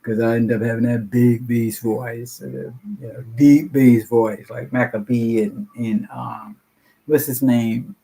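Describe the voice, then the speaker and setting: An older woman in her sixties speaks with a deep, low voice that has a husky grain. She rambles frankly through a personal share at a support meeting and swears casually as she goes. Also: flat delivery, measured pace, mellow, poor audio. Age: 60s. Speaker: meeting participant sharing.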